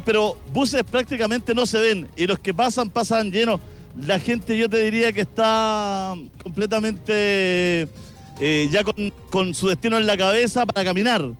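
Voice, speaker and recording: A man's voice: high voice, measured pace, faint music behind. A man with a Chilean accent reporting live from a busy city avenue outdoors, talking conversationally and asking passers-by short questions. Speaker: street reporter. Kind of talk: live field news report with street interviews.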